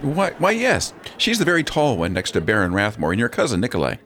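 A middle-aged man playing a gruff, protective lord and father in a radio drama, blustering and grumbling with emphasis. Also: mid-range voice, wildly swooping pitch, fairly quick. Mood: polite. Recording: clearly noisy outdoor ambience.

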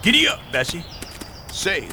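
A man's voice: high-pitched, wildly swooping pitch, a little slow, sharp tone, slight background noise, heavily acted. A man playing a kindly, folksy frontier doctor in an audio western. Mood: genial, helpful. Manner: genial, folksy. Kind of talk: amateur radio western acting.

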